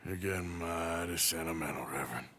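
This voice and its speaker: A man's voice: low-pitched, brisk, sharp tone, a little hiss or hum. A man playing a weary, loyal, self-doubting outlaw gunman with an American accent and a terse drawl in a video game. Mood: warm, wry. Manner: drawling, plain-spoken, terse. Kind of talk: video game cinematic acting.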